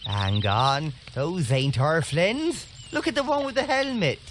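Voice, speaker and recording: A man's voice: a little high, expressive, a little slow, heavy background noise. A man playing a wary, grumbling village peasant in a comic video game. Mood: suspicious.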